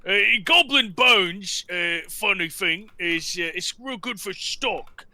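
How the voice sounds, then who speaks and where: A man's voice: high-pitched, expressive, slow pace, pushed and bright, full character voice. A man voicing a cheerfully grisly Scottish cook in a tabletop game, folksy and savoring his words. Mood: grisly relish. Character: male Scottish sewer cook who butchers goblins, cheerfully grisly.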